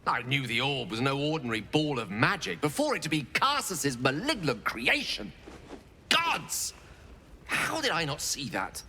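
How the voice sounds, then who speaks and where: An actor voicing an eloquent, self-mocking wizard in a video game, proud yet rueful, with a wry theatrical manner. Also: male voice, a little high, big pitch swings, moderate pace, bright, forceful tone, big-room sound, a little hiss or hum, heavily acted. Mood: shocked realisation. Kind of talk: dramatic video game dialogue.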